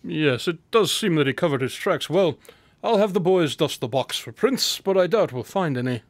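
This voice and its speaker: Male detective, radio drama, precise formal speech, coolly superior, higher pitch, close dry sound. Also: animated, normal speed, dry recording. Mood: self-assured, deductive. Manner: precise, formal, self-assured diction.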